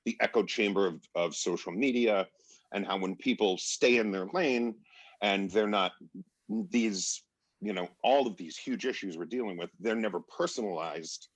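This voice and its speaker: Male actor in discussion, very deep and rough-edged voice, rambling delivery, dry recording. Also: normal speed, no room sound. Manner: rambling, searching for words. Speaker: actor in a stage play. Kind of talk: unscripted panel discussion.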